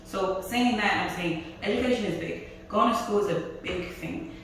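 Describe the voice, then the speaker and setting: A woman from Haiti who grew up speaking Creole, guest in a relaxed interview, speaking softly and laughing easily. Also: medium pitch, moderate pace, slight background noise. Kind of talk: casual interview conversation. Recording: echoey room, mic far away.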